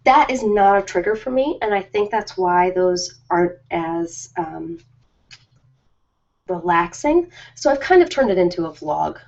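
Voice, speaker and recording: A woman's voice: fairly low pitch, lively intonation, measured pace, gravelly. Chatty woman in dull lo-fi audio. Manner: chatty, informal, rambling. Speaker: ASMR creator hosting a live stream. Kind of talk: casual ASMR live-stream chat. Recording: dull lo-fi live-stream audio.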